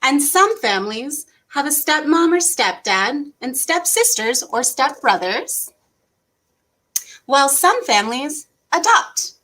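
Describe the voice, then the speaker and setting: A woman's voice: sits high, sing-song, takes it slowly, sharp tone. A woman hosting an interactive read-aloud for young children, speaking in an encouraging way with simple phrasing. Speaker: children's librarian and story time host.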